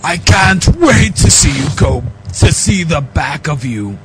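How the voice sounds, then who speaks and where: Man putting on a gruff, haughty, high-pitched warrior prince. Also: sing-song, a little slow, a bit bright, soft background music. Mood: scornful. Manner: haughty, barking, dismissive. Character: gruff, scornful, cruel alien warrior prince and father. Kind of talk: amateur comic dramatic reading of fan fiction.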